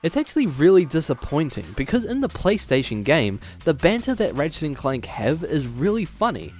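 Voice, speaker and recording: A man's voice: fairly high pitch, sing-song, normal speed, a little mellow, soft background music. A man giving scripted, opinionated commentary in a conversational, emphatic way. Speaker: YouTube video essayist, host. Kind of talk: scripted commentary on video game movies.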